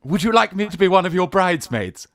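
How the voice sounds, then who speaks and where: Farce role: woman playing a British young gent disguised as an aunt, arch and flustered, lowish female pitch, very animated. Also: quick pace, dry recording. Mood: coy, flustered. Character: young gentleman posing in disguise as a rich dear aunt. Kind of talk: Victorian stage farce, radio play acting.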